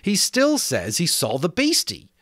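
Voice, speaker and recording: A man's voice: high-pitched, wildly swooping pitch, a little slow, fairly bright tone, no room sound, studio quality, voice pushed up, exaggerated voice. A man voicing an earnest, insistent schoolboy who speaks up urgently for others in a dramatic book reading. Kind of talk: dramatic audiobook character voice.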